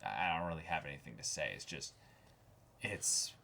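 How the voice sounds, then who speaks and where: Male anime show co-host, very deep voice, casual and reflective, halting phrasing, noticeable background noise. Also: sharp tone. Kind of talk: casual discussion.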